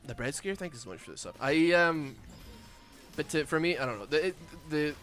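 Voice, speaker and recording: A man's voice: fairly high pitch, lively intonation, a little fast, a bit bright, faint music behind. Mumbling Glaswegian man with a lisp. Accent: Glaswegian Scottish. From Scotland. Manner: mumbling, rambling with many fillers. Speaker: streamer. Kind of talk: casual chat while gaming.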